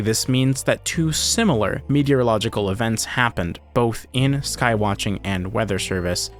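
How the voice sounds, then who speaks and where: A man delivering scripted, analytical video essay narration in a conversational way, with casual asides. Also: mid-range voice. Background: music.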